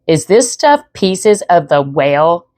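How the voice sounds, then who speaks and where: A woman voicing an excitable, squeamish young girl in a storybook read-aloud, exclaiming as she talks. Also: fairly low pitch, slow pace. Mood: disgusted. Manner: exclaiming, grossed out.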